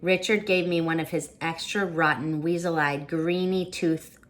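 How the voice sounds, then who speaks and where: A woman reading a children's storybook aloud as the storyteller, with expressive, dramatic narration. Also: low voice, moderate pace.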